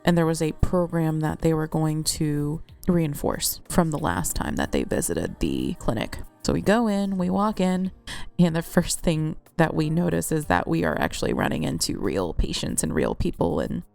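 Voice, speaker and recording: A woman with a slightly congested, cold-affected voice, telling a personal account in a casual, measured storytelling way. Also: sits low, sing-song, gravelly, darkish tone, soft background music. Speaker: host narrating a personal account.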